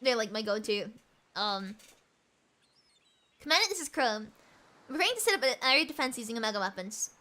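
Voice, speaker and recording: A woman streaming a game, reading its dialogue aloud, hoarse from a cold and losing her voice, excitable and tripping over her words. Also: fairly high pitch, normal speed, pauses often. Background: game music underneath.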